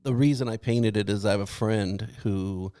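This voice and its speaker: A man's voice: lowish voice, normal speed, dark tone, no room sound, clean studio sound. A man chatting casually on a podcast in a rambling, self-deprecating way. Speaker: podcast cast member and guest painter.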